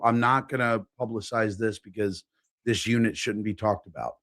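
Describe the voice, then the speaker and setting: A man's voice: mid-range voice, fairly bright tone, dry recording. A man from Philadelphia, a guest on a talk show, giving his opinions frankly and with emphasis. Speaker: podcast guest. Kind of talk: casual political chat.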